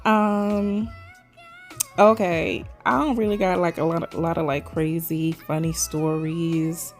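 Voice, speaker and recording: A woman's voice: on the low side, fairly even intonation, slow, faint music behind. A woman from Trinidad doing a casual, chatty voice-over for her nail video, calling viewers "y'all" all the time, her voice still a little scratchy from a sore throat. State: recovering voice, slight throat tickle. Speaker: nail vlogger.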